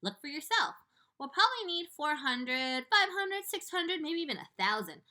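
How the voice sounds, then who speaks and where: A woman's voice: high-pitched, big pitch swings, moderate pace, sharp tone, voice pushed up, full character voice. A woman voicing a clever young boy in a read-aloud storybook, explaining his plan firmly and confidently.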